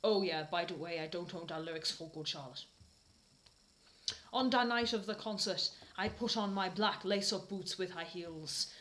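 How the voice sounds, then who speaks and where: A woman's voice: on the low side, moderate pace, fairly bright tone. A British woman reading fanfiction aloud as a mocking, theatrical performance. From Britain.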